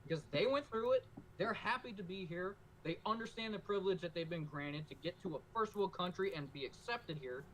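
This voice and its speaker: Emphatic, higher-voiced American man. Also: brisk, a crowd in the background, low-quality recording. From United States. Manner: emphatic, lecturing, repeats his points. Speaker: co-host. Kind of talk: casual political podcast chat.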